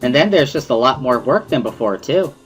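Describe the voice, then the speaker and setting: A man voicing a bubbly, playful, energetic teenage schoolgirl in an amateur visual novel reading. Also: medium pitch, brisk, close to an everyday voice, poor audio. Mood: chatty, explaining. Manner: playful, cheery, exclaiming. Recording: music behind the voice.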